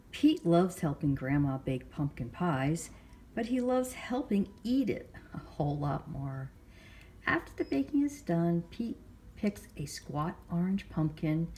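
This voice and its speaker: A woman hosting storytime, reading a picture book aloud to young children with measured, expressive phrasing. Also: deep voice, slight background noise. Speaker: library storytime host.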